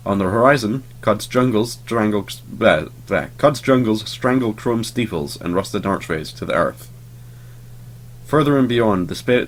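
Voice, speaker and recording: A young man, just over a cold, casually talking through a game he is playing in a sleepy, rambling way with lots of fillers. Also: low voice. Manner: rambling and sleepy, lots of ums, swears offhandedly. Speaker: let's play host. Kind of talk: casual gameplay commentary.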